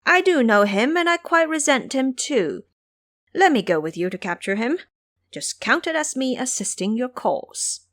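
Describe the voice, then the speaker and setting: A cunning monkey hero played by a woman in an audio drama. He is brash and boastful, with a sly theatrical delivery that swings widely in pitch. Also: sing-song, moderate pace, a bit bright, no echo, clean studio sound. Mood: feigned resentment. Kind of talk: audio drama character acting.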